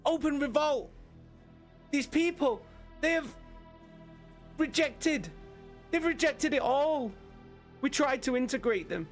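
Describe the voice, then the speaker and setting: A man's voice: very high voice, expressive, takes it slowly, pauses often, soft background music, pitched above the performer's own voice, full character voice. A man voicing a terrified, desperate woman soldier pleading on a distress recording in a dramatic story reading. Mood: frightened, urgent. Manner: frantic, pleading.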